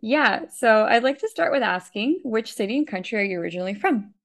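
A woman's voice: a little high, animated, a little fast. A woman hosting an interview podcast, asking questions in a friendly, upbeat way. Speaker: podcast host.

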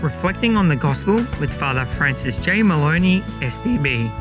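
A man's voice: a little high, lively intonation, moderate pace. A man hosting a gospel reflection program, reading a scripted introduction. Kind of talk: scripted narration. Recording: music behind the voice. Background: plucked guitar music.

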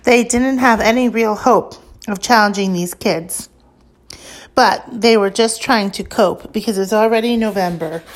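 A woman reading a children's book aloud as scripted narration, leaning deliberately on key words and lists. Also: medium pitch.

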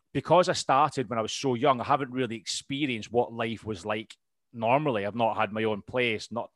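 A Scottish man in his thirties talking as an interview guest, with a rambling, easygoing way of speaking full of ums and restarts. His voice sits at an everyday middle pitch. The sound is close and dry, with no room echo. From Scotland. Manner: rambling, lots of ums and restarts, laughs easily. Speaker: podcast guest, RAF veteran. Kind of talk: casual interview chat.

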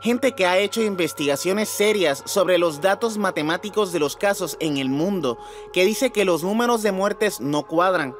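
A man's voice: high-pitched, expressive, moderate pace. A man presenting scripted podcast commentary as host, putting rhetorical questions to his listeners. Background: background music.

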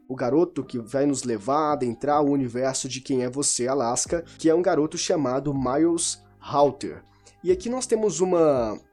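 Man, slightly high mellow voice, loose rambling book talk, faint music behind. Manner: informal, rambling with restarts and self-corrections. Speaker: book reviewer. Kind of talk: casual spoken book discussion.

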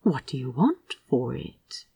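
A woman voicing a gentle, stubborn male angel in a story, prim, polite and soft-spoken. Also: extremely low pitch, very animated, slow, gravelly, husky, dry recording, voice pushed down, full character voice. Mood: soft, teasing.

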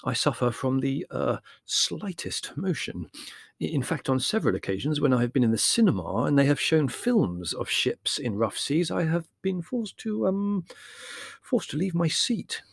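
A man voicing a mild, judicious scholarly gentleman in a storybook, hesitant, halting and hemming as he talks. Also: a little high, big pitch swings, creaky, husky, no echo, studio quality, pitched above the performer's own voice, heavily acted. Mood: apologetic. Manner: slow, halting, hemming and stammering.

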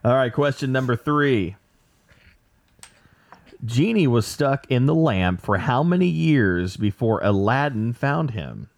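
A man plays quizmaster on a relaxed podcast. His delivery rises and falls a lot, and it carries a dry, self-deprecating wit. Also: mid-range voice, takes it slowly, creaky. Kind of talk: joking game-show hosting.

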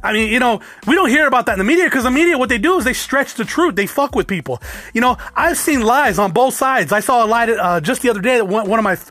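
A man's voice: very high-pitched, lively intonation, fast talker, fairly bright tone, dry recording, soft background music. A man from the United States talking casually to his viewers in a rambling, profane rant that restarts and repeats itself. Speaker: vlogger.

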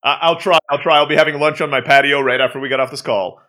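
Fast-talking man with an American accent. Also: a little high, steady tone, pushed and bright. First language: Turkish. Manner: chatty, laughs easily. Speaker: podcast guest, entrepreneur. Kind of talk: casual interview chat.

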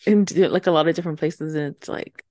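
A woman's voice: low-pitched, fast talker, mellow, dry recording. A young woman co-hosting a podcast in casual chat, rambling and filling her talk with "like". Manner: rambling, heavy use of "like". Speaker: podcast co-host.

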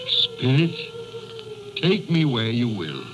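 An old, humbled miser speaks with big swings of pitch. He is theatrical and emotional, on a vintage radio broadcast with clear music underneath. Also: big pitch swings, slow pace, lo-fi sound. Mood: humbled, earnest. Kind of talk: 1930s-40s radio drama acting.

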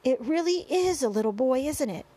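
A woman's voice: high-pitched, big pitch swings, unhurried, voice pushed up, exaggerated voice. A woman voicing blustering male fire and police chiefs in a storybook, urgent and exclamatory. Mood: astonished.

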